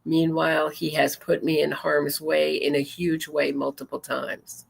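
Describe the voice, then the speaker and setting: A woman's voice: low voice, level tone, a little slow, vocal fry, breathy edge, rough recording. A woman hosting a true crime talk show, commenting casually and reading messages aloud, often digressing and correcting herself as she goes. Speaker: podcast host.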